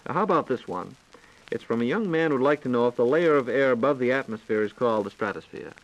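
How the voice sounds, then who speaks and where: A man's voice: mid-range voice, fast, lo-fi sound. A man hosting a scripted 1940s radio science program, speaking in a formal, carefully enunciated announcer's way on a vintage broadcast recording. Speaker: radio host posing listener questions.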